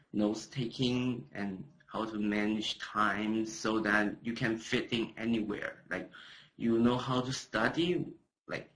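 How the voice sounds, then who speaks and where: A man's voice: on the low side, fairly bright tone, low-quality recording. A young man, a non-native English speaker, talking casually about his studies with many hesitations and jokes. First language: not English. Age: young adult. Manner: hesitant, many fillers, joking. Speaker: student speaker. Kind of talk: casual webcast talk.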